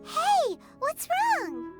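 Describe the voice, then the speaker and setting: A woman voicing a tiny, childlike fairy companion in a video game, squeaky, excitable and blunt, speaking of herself in the third person. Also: extremely slow, over music, heavily acted. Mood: excited. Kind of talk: video game character acting.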